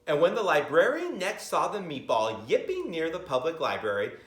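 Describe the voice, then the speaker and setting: Animated middle-aged man reading aloud. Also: on the high side. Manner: expressive, pausing to comment and ask listeners questions. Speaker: school reader of picture books to children. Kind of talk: picture book read-aloud with asides. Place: school library room. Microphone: camera a few metres away. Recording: roomy library, camera at a distance.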